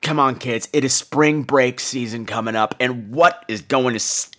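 A man's voice: mid-range voice, moderate pace, pushed and bright. A man hosting a podcast in casual chat, with a campy, theatrical and cheeky delivery. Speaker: podcast host.

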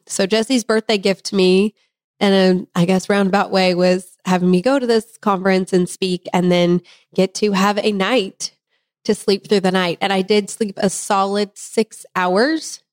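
A talkative woman in her thirties chats on a podcast, laughing easily as she talks. Her voice carries a slight leftover hoarseness. The sound is dry and close, with no room echo. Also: mid-range voice, a little mellow. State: slightly hoarse, recovering from laryngitis. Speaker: podcast co-host. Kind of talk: casual chat.

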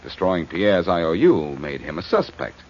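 An actor playing a cocky, quick-witted amateur detective in a 1940s radio crime drama, wisecracking in emphatic slang. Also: male voice, sits low, very animated, darkish tone. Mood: smug, explaining. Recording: muffled, hissy old radio recording.

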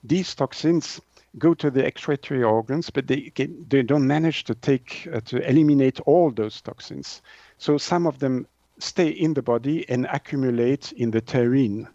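Halting man, slightly muffled sound. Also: mid-range voice, mellow, no echo. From Switzerland. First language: French. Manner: halting, hesitant delivery with word searching. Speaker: naturopath, interview guest. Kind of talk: explanatory interview answers.

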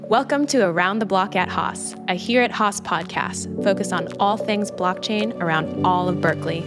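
A woman's voice: medium pitch, moderate pace, music in the background. A woman hosting a podcast, giving upbeat, scripted introductions and questions from a virtual studio. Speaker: podcast host.

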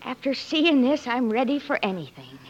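An actress playing an admiring sweetheart from a Western town in an expressive 1940s radio drama style. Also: female voice, on the high side, normal speed, muffled recording. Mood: tender, pleased. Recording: noisy vintage 1940s radio recording.

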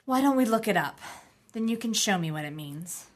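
An actress playing a young mother in her thirties who speaks firmly to her son and gently to her little daughter. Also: medium pitch, animated, fairly quick, close to an everyday voice. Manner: firm, gentle maternal. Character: young mother, firm yet tender, steady in crisis.

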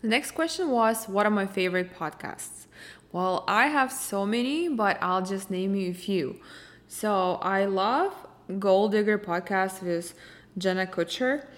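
A woman's voice: mid-range voice, measured pace. A woman from Ukraine, whose first language is Russian, speaking in a Russian accent as she hosts a casual solo question-and-answer podcast in a chatty, upbeat way. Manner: chatty, upbeat, simple sentences. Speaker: podcast host.

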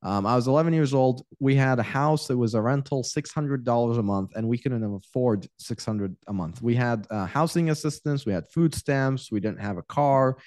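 A man's voice: medium pitch, moderate pace, hardly any pauses, a little mellow, dry recording. Frank young man, dry close sound. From Iraq. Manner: candid, frank, repeats words while thinking aloud. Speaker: podcast guest, real estate investor. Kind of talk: casual interview storytelling.

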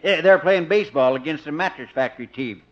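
A man's voice: a little high, quick pace, lightly acted. An actor playing an amused, folksy small-town male neighbour in a 1950s radio comedy. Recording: dull old 1950s radio recording.

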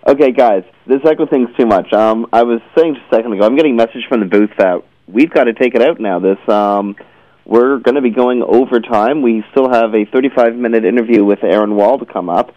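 A man's voice: medium pitch, a little fast, dark tone, no echo. A man hosting a radio show in a casual, unscripted way. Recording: slightly dull, dry radio audio.

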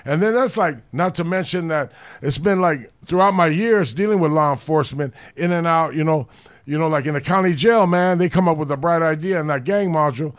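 Loud deep-voiced blunt man. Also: fairly quick. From Los Angeles. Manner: loud, blunt street talk with heavy swearing. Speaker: podcast co-host. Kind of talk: casual storytelling chat.

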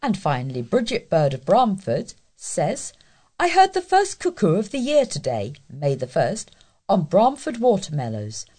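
Husky woman reading aloud. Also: on the low side, huge pitch swings, measured pace, dark tone. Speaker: volunteer newspaper reader. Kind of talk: reading local news and letters aloud.

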